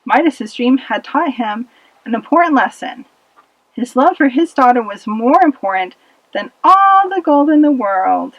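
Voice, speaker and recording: Woman reading a kids puppet show story, high expressive voice, dry room, bit muffled. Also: measured pace, no room sound. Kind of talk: scripted children's story narration for a puppet show.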